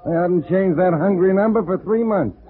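A man's voice: high voice, brisk, slight background noise, lightly acted. A man playing a chatty, slangy, impatient nightclub dice man in a 1940s radio drama. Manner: folksy slang, gabby. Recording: tinny 1940s radio recording with music behind.

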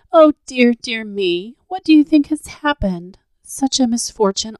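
A woman's voice: mid-range voice, darkish tone, no room sound, voice pushed up, close to an everyday voice. A woman voicing a sweet little girl who is upset and tearful in a storybook reading.

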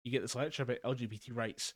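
A man's voice: medium pitch, fairly even intonation, rapid-fire, no echo, clean studio sound. A young man co-hosting a casual podcast, telling stories in a rambling way with frequent swearing and laughter. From Scotland. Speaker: podcast co-host. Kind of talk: casual chat. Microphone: home mic over a voice call.

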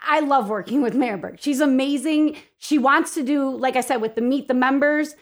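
A woman's voice: high-pitched, normal speed. A woman giving enthusiastic, informal answers as a guest in a casual interview, speaking as the president of a local organization.

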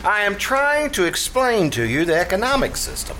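A brash know-it-all barkeep acted by a man for old-time radio comedy. The delivery is bright and forceful, swinging up and down in pompous, streetwise lectures. It is a live stage recording with faint noise. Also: high voice, wildly swooping pitch, moderate pace, full character voice, low-quality recording.

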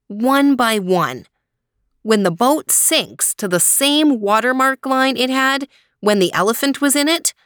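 A woman's voice: medium pitch, fairly bright tone, dry recording, studio quality. A woman voicing a clever, eager young boy explaining his plan in a children's story. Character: clever, eager little boy, the emperor's son.

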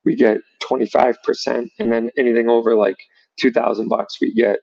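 Guy w/ gravelly fry voice explaining stuff casually in an interview, kinda muffled lo-fi sound. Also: mid-range voice, little pitch movement, a little fast, darkish tone, dry recording. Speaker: gun shop owner, podcast guest.

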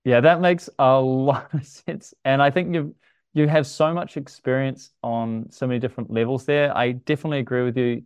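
Gravelly, smooth-voiced male podcast host. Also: mid-range voice, fairly even intonation, moderate pace, a little mellow, dry recording. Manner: affirming, short acknowledgements. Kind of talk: podcast interview.

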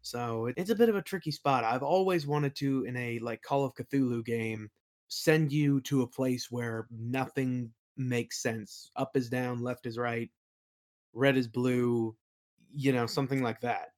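A man's voice: mid-range voice, fairly even intonation, a little slow, darkish tone. A man speaking as an interview guest, explaining things in a casual, reflective way. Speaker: interview guest, game world builder.

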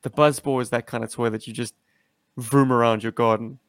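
A man's voice: medium pitch, fairly even intonation, brisk, no room sound. Jokey man chatting, dry close sound. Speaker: podcast host and toy collector. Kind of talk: casual chat about action figures.

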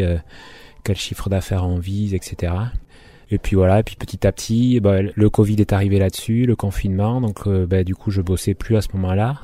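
A man in his thirties from Béarn, speaking in a deep, warm, relaxed voice. He talks about his work as a market gardener in an easygoing interview manner. His speech is informal, with frequent pauses to gather his thoughts. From Béarn, France. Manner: informal, thinks aloud with frequent hesitations.

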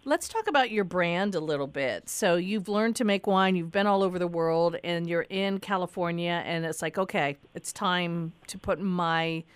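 Smooth-voiced woman host. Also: fairly low pitch, normal speed, hardly any pauses, dry recording. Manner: chatty, leading questions. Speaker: interview host. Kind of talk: casual interview chat.

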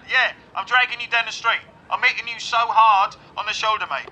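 Casting: male actor as hapless British bloke, very high, piercing voice, wildly animated, stammering comedy delivery. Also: moderate pace, slight background noise, rough recording. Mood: manic, gleeful. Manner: stammering, insistent. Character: hapless, overexcited bloke lost in the street. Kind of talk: comedy sketch acting.

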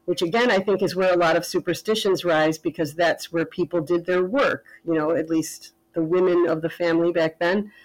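A woman author talking as a podcast guest, thoughtful and measured, now and then pausing on fillers. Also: on the low side, fairly even intonation, low-quality recording. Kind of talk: casual interview chat.

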